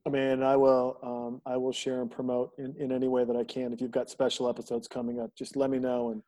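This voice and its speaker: A man's voice: medium pitch, completely flat delivery, a little fast, mellow. Effusive man hosting a podcast. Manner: friendly and effusive, repeats words when starting. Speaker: podcast host. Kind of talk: casual podcast chat.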